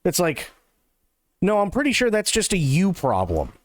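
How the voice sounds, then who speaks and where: A man's voice: high voice, huge pitch swings, normal speed, no echo. A man ranting sarcastically while reacting to a live showcase stream, swearing often and mocking what he hears. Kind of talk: profane live-stream commentary.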